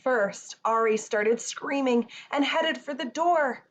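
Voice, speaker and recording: A woman's voice: high voice, slow pace. A woman reading a rhyming children's picture book aloud as narrator. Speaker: storybook reader. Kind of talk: children's story read-aloud. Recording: muffled with faint background noise.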